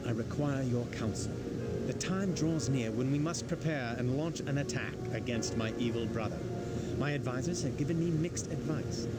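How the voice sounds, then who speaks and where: A man's voice: mid-range voice, close to an everyday voice. An actor playing a noble, earnest fantasy king in a game cutscene, speaking formally and regally to his general. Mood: grave, counselling. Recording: loud music behind the voice.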